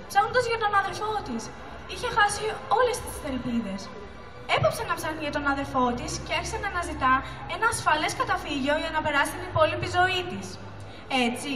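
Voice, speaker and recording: A teenage girl narrating a school puppet show as a scripted story. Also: extremely high pitch. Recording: echoey, distant mic, music behind.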